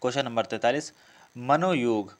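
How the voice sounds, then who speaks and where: Guy teacher, mid pitched voice, going thru exam questions, repeats stuff to explain. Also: moderate pace. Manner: didactic, repeats key phrases and asks himself questions. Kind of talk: test-prep lesson, working through questions.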